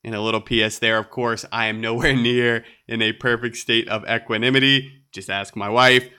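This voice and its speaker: A man's voice: mid-range voice, flat delivery, moderate pace, bright, forceful tone, smooth. A man hosting a solo podcast, sounding nasal and congested, upbeat and chatty with light laughs. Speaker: podcast host.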